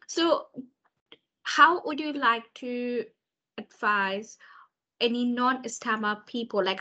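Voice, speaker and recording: A young woman interviewing over a video call, asking questions hesitantly with many pauses. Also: on the high side, a bit bright, muffled recording. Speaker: students' union officer interviewing. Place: room with large window.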